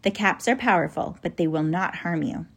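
A woman voicing a kindly, grave male professor in a children's storybook, speaking in an earnest, measured, instructing way. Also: on the low side, gravelly, slightly rough. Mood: calm, instructing.

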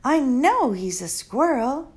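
A woman's voice: high-pitched, big pitch swings, slow pace, a little mellow, pitched above the performer's own voice, full character voice. A woman reading an audiobook, voicing a blunt, impatient young girl who talks with exasperated insistence.

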